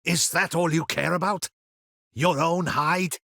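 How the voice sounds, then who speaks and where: An actor playing a stern, dutiful captain of a ducal guard in a fantasy video game, with formal, clipped, courtly military diction. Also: male voice, fairly high pitch, fairly even intonation, unhurried, vocal fry, a bit bright, no room sound, clean studio sound, exaggerated voice. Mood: urgent, angry.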